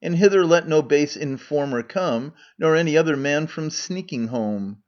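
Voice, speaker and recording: A man's voice: fairly high pitch, animated, moderate pace, darkish tone, lightly acted. A man voicing a shrewd, dry-witted male Athenian farmer, delivering verse sarcastically. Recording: muffled lo-fi recording.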